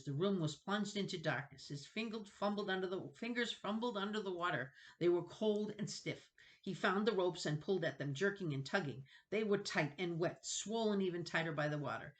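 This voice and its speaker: A woman narrating a children's novel dramatically, reading with expression and suspense. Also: lowish voice. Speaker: storybook reader.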